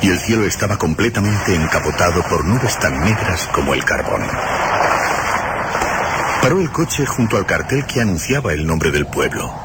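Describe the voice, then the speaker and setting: Deep, dramatic male narrator over loud noise. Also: lively intonation. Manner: dramatic, deliberate phrasing. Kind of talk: scripted suspense radio narration.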